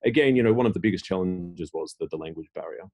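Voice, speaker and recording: Deep-voiced middle-aged man, rambling. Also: fast, darkish tone. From Australia. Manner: rambling, many fillers and restarts. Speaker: tech executive guest. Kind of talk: casual interview talk.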